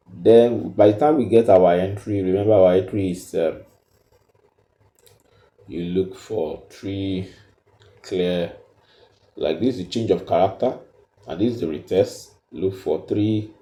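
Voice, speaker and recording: A man giving an unscripted step-by-step tutorial in a halting, repetitive, instructional way, recorded in an echoey room. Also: sits low, warm tone. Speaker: trading tutorial presenter.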